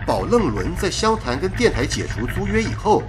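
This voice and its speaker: An actor playing a polite, resolute wartime radio station worker in a radio drama, earnest and courteous. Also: male voice, high voice, sing-song, moderate pace, background noise. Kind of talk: Mandarin radio drama acting.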